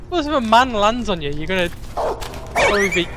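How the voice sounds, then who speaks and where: A man's voice: high-pitched, sing-song, a little fast. A British man bantering as a game co-commentator, full of wry, jokey asides. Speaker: let's play co-commentator. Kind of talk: casual banter over gameplay. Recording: clear game noise behind voice. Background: video game sound.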